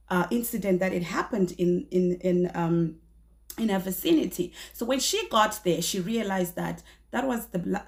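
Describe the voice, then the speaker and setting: Woman, slightly hoarse, 30s, chatty true-crime YouTuber, lots of fillers and restarts, small room, close camera mic. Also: mid-range voice. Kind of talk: casual storytelling to camera.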